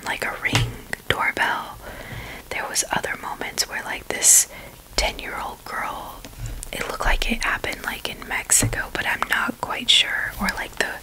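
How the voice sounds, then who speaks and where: A young woman whispering a casual, rambling ASMR chat in a hesitant way, with phrases that trail off. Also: low-quality recording. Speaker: ASMR video creator.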